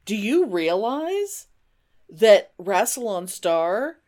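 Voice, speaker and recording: A woman's voice: mid-range voice, big pitch swings, extremely slow, a little mellow. A middle-aged woman co-hosting a podcast in casual, effusive, sweary chat with a friend. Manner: effusive, sweary, gasps and exclaims. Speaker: podcast co-host.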